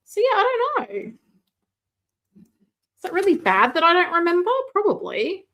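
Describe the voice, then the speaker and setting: A high-voiced woman chatting on a live stream, her pitch bouncing all over as she talks. She reads out viewer comments and jokes about herself while she unboxes a figure. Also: big pitch swings, unhurried, a bit bright. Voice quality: self-described booming voice. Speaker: host of a live toy collecting show. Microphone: desk mic, moved away at times.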